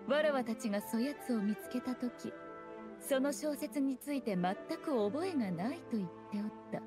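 A woman playing a teasing, regal fox shrine maiden in a video game, with archaic lofty speech and an amused, unhurried manner. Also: fairly high pitch. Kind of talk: scripted video game voice acting.

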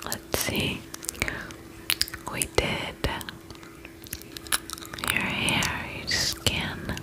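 A woman doing an ASMR spa roleplay as an attendant, speaking in a soft breathy whisper close to the mic, gentle, soothing and affectionate. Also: very slow, low-quality recording. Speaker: ASMR performer playing a vampire spa attendant. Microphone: close ASMR microphone.

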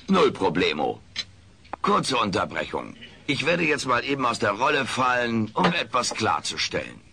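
An actor playing a wisecracking, vain, washed-up alien comedian in a comic radio play, with a sarcastic, self-important manner. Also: male voice, on the low side, sharp tone, heavily acted.

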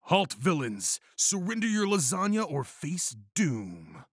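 A man puts on a macho cat hero from a comic fan story, full of pompous righteousness. The delivery is declamatory, with big swings in pitch and a dry, close sound. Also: lively intonation, normal speed, breathy edge, no echo. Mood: furious, commanding. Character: macho, bombastic, lasagna-obsessed male cat hero, righteous and flirty.

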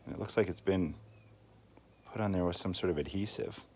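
A man's voice: sits low, a little fast, a little mellow. A man giving a casual hands-on repair walkthrough, thinking aloud in a folksy way as he works. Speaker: host of a tinkering channel. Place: indoors at a work table. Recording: clear background noise, clicks and handling sounds.

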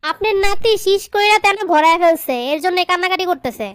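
A woman's voice: extremely high pitch, fast talker, no room sound, clean studio sound. A woman voicing a pampered young married daughter in a comedy cartoon, girlish and coaxing, with a Bangladeshi accent. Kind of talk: comedy cartoon dialogue in colloquial dialect.

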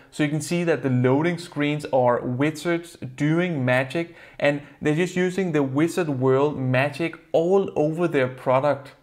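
A young man with a Danish accent, a native Danish speaker, earnestly explaining a software review to camera from a desk in a plain room. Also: a little high. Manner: earnest, explanatory, slightly non-native phrasing.

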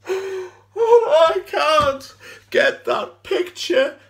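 Young guy acting a haunted distraught man, dramatic monologue, slow n halting w long pauses, creaky voice. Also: sits high, animated, heavily acted. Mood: anguished, crying. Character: distraught man haunted by his girlfriend's suicide. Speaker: amateur actor.

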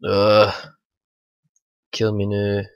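Gravelly man with a cold. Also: on the low side, monotone, drawn-out words, lo-fi sound. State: hoarse with a bad cold. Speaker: gaming channel host. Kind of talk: casual let's play commentary.

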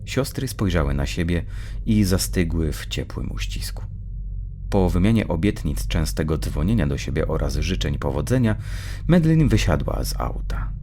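A man narrates dark fiction in a very deep voice with a gravelly creak. His pitch moves expressively through the scripted storytelling. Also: sing-song, normal speed, slight background noise. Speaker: audiobook narrator.

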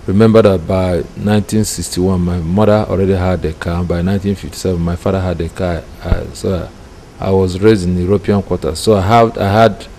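A middle-aged Nigerian man with a Nigerian accent, a preacher giving personal testimony deliberately, phrase by phrase. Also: deep voice, warm tone. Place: indoor room with curtains. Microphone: microphone close in front. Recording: clear background noise.

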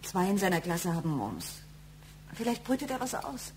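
A woman's voice: lowish voice, big pitch swings, moderate pace, darkish tone. An actress playing a protective, aggrieved wife and mother in a German radio crime drama, over some hum. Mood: soothing concern.

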